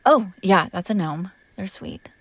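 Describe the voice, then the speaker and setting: A woman playing an affectionate, teasing and protective young vampire in a roleplay audio drama, speaking in a halting, casual, intimate way that drifts into breathy whispers. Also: medium pitch, no room sound. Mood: amused, playful.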